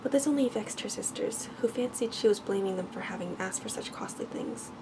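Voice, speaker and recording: A soft-spoken woman reads a bedtime story in a gentle, careful ASMR style, often dropping toward a whisper. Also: mid-range voice, brisk, background noise. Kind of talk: ASMR bedtime story reading, scripted narration.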